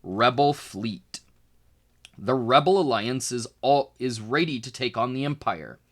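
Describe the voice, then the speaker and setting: A man reading a children's book aloud as a tutor, in expressive educational narration with deliberate phrasing and emphasis on key words. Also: mid-range voice.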